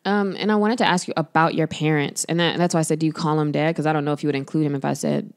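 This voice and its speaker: Low-voiced young woman interviewing. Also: steady tone, fast. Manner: easygoing, giggly, many fillers. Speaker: podcast co-host, interviewer. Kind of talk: casual interview chat. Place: small studio, on a couch. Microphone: studio mic on a stand, headphones.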